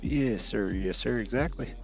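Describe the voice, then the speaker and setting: A man acts as an easygoing county businessman in an online role-play game. His voice is fairly low, with a courteous, agreeable manner and casual phrasing. There is faint music behind him. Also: measured pace, vocal fry, a little mellow. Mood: agreeable, respectful. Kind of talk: improvised in-character role-play.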